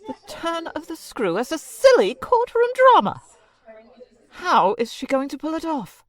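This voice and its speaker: A woman's voice: sits high, wildly swooping pitch, a little slow, a bit bright, no room sound, heavily acted. A woman acting in an audio drama introduction as a formal, theatrical critic. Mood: disdainful. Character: disdainful theatrical female critic in bantering introduction.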